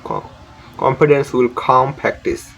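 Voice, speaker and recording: A man's voice: mid-range voice, huge pitch swings, measured pace, gravelly, fairly bright tone. A young man with a Bengali accent, a native Bengali speaker hosting a casual English lesson to camera, often stopping, repeating words and restarting sentences. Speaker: English learning channel host.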